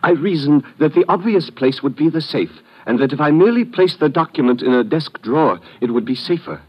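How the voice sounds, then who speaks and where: An actor playing a polished, over-eager official under suspicion in an old-time radio drama, formal and courteous with protesting turns. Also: male voice, a little high, expressive, a little fast, husky. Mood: courteous, defensive. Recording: muffled vintage radio recording.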